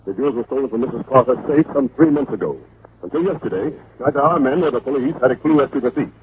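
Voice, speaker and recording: A man's voice: mid-range voice, fast talker, natural voice. An actor playing a formal, businesslike male insurance executive in an old radio drama, urgent and matter-of-fact. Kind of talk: 1940s-50s radio drama acting. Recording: noisy, phone-like old radio recording.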